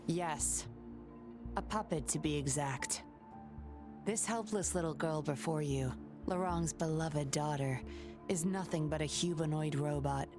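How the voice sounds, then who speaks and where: A woman playing a composed, sly undercover patroller in a video game, coolly confident and measured. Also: low-pitched. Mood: serious, cool. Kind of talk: scripted video game voice acting. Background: game music.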